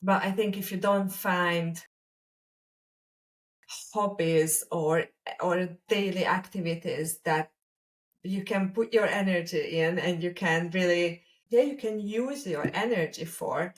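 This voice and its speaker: A woman from Hungary speaks with a Hungarian accent in a reflective, conversational way. Her pace is very slow, with deliberate pauses, and her pitch sits a little low. She sounds somewhat away from the microphone. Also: far from the mic. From Hungary, a small village. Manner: deliberate, pausing mid-sentence. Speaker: podcast co-host.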